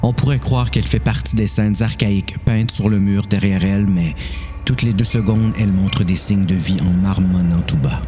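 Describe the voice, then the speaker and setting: A man with a Quebec French accent reading a suspense story in a measured, deliberate way as the narrator of an audio drama. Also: fairly low pitch.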